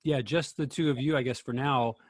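Man, raspy, medium pitch, casual moderator, halting questions. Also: steady tone, no room sound. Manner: hesitant, stumbling over phrasing. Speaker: discussion moderator. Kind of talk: casual post-reading questions.

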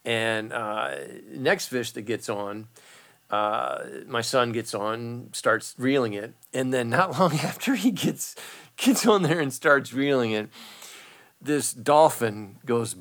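A man's voice: mid-range voice, slow pace. A middle-aged man in casual chat, telling a long fishing story with lots of fillers and restarts. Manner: rambling, animated, many fillers.

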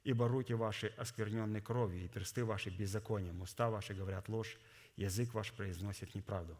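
A man delivering a sermon in a formal, didactic, deliberate way, his voice somewhat hoarse from a cold. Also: lowish voice, fairly even intonation, a little mellow. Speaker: preacher. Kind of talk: sermon with scripture readings and exposition.

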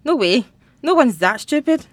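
A young woman playing a dry, wary teenage girl who is new at school, deadpan, in a comic audio drama. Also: sits high, moderate pace, dry recording. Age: young adult. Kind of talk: light comedic audio drama dialogue.

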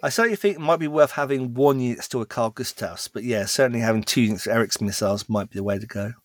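Male podcast co-host, middle-pitched, explains rules at length, dry recording. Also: fast, no room sound. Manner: explains rules in long runs, with many restarts. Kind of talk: casual chat about tabletop wargame rules.

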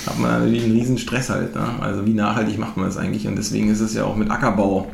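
A man's voice: lowish voice, little pitch movement, very fast, vocal fry. Chatty man from eastern Germany. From Mecklenburg-Western Pomerania, eastern Germany. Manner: chatty, many fillers and tag questions. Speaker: podcast host. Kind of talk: casual conversation. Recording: roomy with faint noise.

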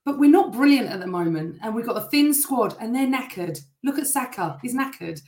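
A woman on a football fan panel, talking in an animated, emphatic way and cutting in on the others. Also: a little high, brisk, echoey room. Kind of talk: casual football fan chat.

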